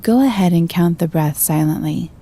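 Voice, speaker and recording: A woman narrating a scripted guided meditation in a gentle, calm and soothing manner. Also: lowish voice, normal speed.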